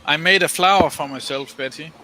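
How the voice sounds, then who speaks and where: A man from Brazil chatting in a casual video call, easily amused and quick to laugh. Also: on the high side, sharp tone. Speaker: hangout participant.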